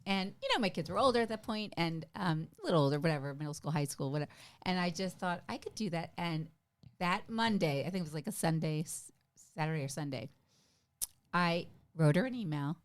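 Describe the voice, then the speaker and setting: A middle-aged woman with a voice a little on the low side, speaking as a guest in a casual conversation. She is chatty and enthusiastic, leaning on key words for emphasis as she tells her stories. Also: expressive, brisk. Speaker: podcast guest, stand-up comedian.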